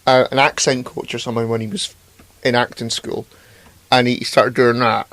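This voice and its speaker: A man's voice: medium pitch, flat delivery, moderate pace, a bit bright, no room sound. A man co-hosting a podcast in casual, easygoing banter with some swearing. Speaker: podcast co-host. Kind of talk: casual chat.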